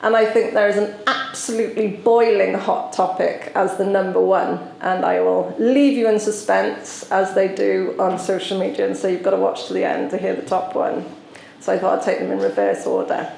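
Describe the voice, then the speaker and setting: A woman from South Wales with a Welsh accent, giving a conference talk as a solicitor and explaining with frequent pauses. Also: mid-range voice, flat delivery, creaky, darkish tone, roomy sound, slight background noise, rough recording. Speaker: tree law solicitor presenting. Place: conference venue.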